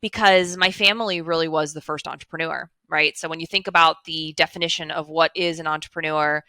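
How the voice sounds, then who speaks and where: A woman's voice: deep voice, fairly even intonation, a little fast, bright, forceful tone, no echo. A woman, telling her story as a guest over a headset video call, earnest and fluent with frequent fillers. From Chicago, USA. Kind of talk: casual interview storytelling. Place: home office with blinds, indoors.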